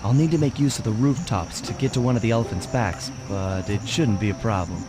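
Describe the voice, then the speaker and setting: An actor playing a cocky, loyal young raccoon thief with a laid-back, wry manner in a cartoon video game. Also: male voice, lowish voice, brisk, close to an everyday voice. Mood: relaxed confidence. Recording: game music behind voice. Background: game music.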